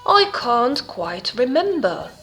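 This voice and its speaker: A woman's voice: fairly high pitch, sing-song, a bit bright, faint music behind, pitched above the performer's own voice, exaggerated voice. A woman voicing a big monster father in a storybook, giving grave, dramatic warnings to his child. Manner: slow, ominous, dramatic. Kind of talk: storybook read-aloud for children.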